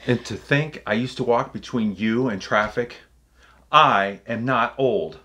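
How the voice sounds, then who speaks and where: A man's voice: medium pitch, measured pace, pushed and bright. A middle-aged man, a guest on his daughter's music channel, joking playfully about being nervous. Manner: playful, mock-nervous, dry teasing. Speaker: guest, host's father. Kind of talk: casual joking chat. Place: bedroom indoors.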